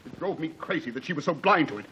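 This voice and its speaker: A man's voice: fairly high pitch, animated, fast talker, pushed and bright, a little hiss or hum. An actor playing a desperate male theater producer accused of murder, stammering as he breaks down in an old radio drama. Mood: broken. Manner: stammering, cracking under accusation. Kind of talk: 1940s radio acting. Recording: dull old radio broadcast.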